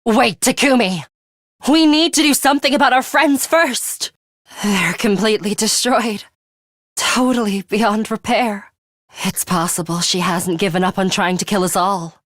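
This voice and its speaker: A woman voicing a composed, battle-hardened young woman in an English video game, speaking in a deliberate, matter-of-fact way. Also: mid-range voice, a bit bright, no echo, studio quality. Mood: alarmed, desperate, then serious. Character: young woman, composed battle-hardened time-looper, coolly urgent ally.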